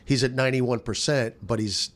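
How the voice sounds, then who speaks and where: A man's voice: mid-range voice, moderate pace. An American man, a sports radio announcer, giving casual analysis on a roundtable discussion.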